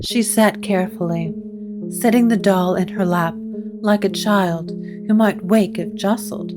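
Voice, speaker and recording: A woman narrating a scripted gothic audio drama in a measured, solemn, atmospheric manner. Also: mid-range voice, over music.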